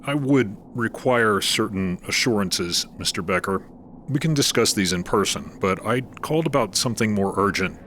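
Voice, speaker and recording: A man playing a measured, guarded scientist. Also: lowish voice, animated, fairly bright tone, a little hiss or hum. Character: cool, guarded male scientist studying the marsh. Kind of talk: audio drama acting.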